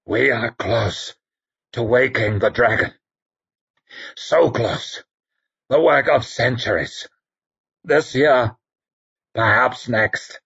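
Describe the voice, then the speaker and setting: A man voicing a wounded male zealot with a croaking voice, fervent and pleading. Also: medium pitch, slow pace, long pauses, a bit bright, dull audio, full character voice. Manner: urgent, pleading.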